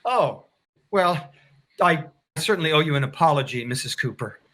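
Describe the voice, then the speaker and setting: A man's voice: a little high, lively intonation, normal speed, fairly bright tone. A man playing a skittish but obliging bachelor salesman in a 1940s-style radio sitcom.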